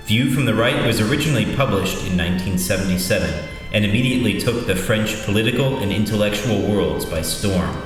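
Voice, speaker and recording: A man reading a scripted advertisement in an even, formal delivery. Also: fairly low pitch, brisk, hardly any pauses. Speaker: ad announcer. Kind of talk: scripted ad read. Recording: distant voice in a reverberant hall with music.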